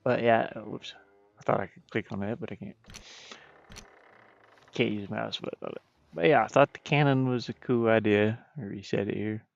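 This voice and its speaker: A low-voiced man talks casually to his livestream while working on a game. His delivery is unhurried and halting, with a gravelly creak, often trailing off. The sound is dry and a bit muffled. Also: no room sound. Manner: hesitant, halting, frequently trailing off. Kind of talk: casual stream chat. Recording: muffled, dull low-fidelity stream audio.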